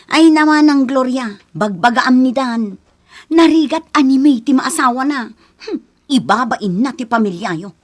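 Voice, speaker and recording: An actress playing a meddling middle-aged auntie in an Ilocano radio drama, insinuating and insistent, with a Filipino accent. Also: female voice, high voice, animated, measured pace. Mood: mock concern.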